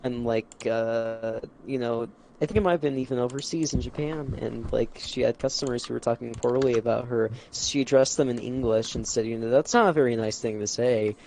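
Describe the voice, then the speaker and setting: A man's voice: mid-range voice, a little fast, creaky, few pauses, darkish tone, a little hiss or hum. A man from the United States chatting on an online voice call, telling rambling stories full of "like" and "you know". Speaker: stream co-host. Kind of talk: casual group chat on a voice call. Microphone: online voice chat mic.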